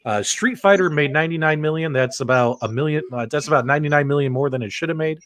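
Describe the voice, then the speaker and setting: A man hosting a casual panel show, excitable and chatty as he addresses his co-hosts and audience. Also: a little high, quick pace, slight background noise. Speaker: host of a video game podcast.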